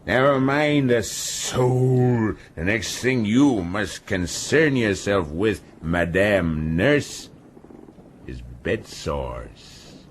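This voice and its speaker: A brutal ship's captain brought low by illness, still defiant and mocking. The voice is deep and very slow, deliberate in every phrase, in a radio drama with some background noise. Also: male voice, big pitch swings, heavily acted. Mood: scornful. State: ailing, weakened. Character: brutal, sardonic ship's captain, ailing and half-paralyzed, defiant.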